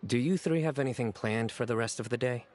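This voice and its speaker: A man voicing a stern, composed young guardian in a game, measured, self-assured and deadpan, quietly fond of friends. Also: medium pitch, slight background noise, natural voice. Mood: calm. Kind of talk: game voice acting.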